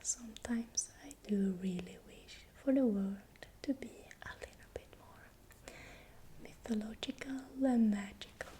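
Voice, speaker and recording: A woman narrating in ASMR style in a breathy, unvoiced whisper, speaking softly and deliberately. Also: mid-range voice, slight background noise. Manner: slow, deliberate, repeats words softly. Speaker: ASMR creator narrating folklore.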